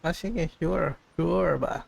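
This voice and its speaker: A man's voice: a little high, takes it slowly, vocal fry, a little mellow, husky. A man with a Filipino accent, a native Tagalog speaker, reacting casually as a game streamer. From Southeast Asia. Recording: game music behind the voice.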